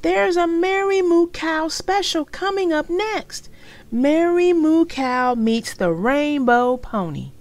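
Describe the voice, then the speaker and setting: A woman voicing an excitable, bossy little preschool girl for a storybook, with an emphatic, insistent manner. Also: extremely high pitch, takes it slowly, voice pushed up, heavily acted. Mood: excited.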